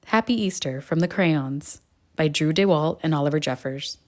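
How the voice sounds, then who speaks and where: A woman's voice: sits low, moderate pace, darkish tone. A woman narrating a picture book aloud for children. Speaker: storybook narrator. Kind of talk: animated children's read-aloud.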